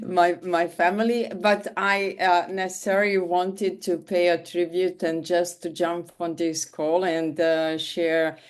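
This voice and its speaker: A woman's voice: on the low side, fairly even intonation, takes it slowly. A woman in her fifties from Switzerland, a leadership coach introducing herself on a video call, hesitant and full of fillers and restarts. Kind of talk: online call self-introduction.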